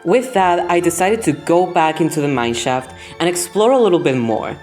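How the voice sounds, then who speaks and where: A woman's voice: fairly low pitch, lively intonation, normal speed, darkish tone. A young woman, a native Spanish speaker with a Spanish accent, narrating gameplay in a scripted voice-over as the host. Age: young adult. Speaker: gaming video host. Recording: background music under the voice. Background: music.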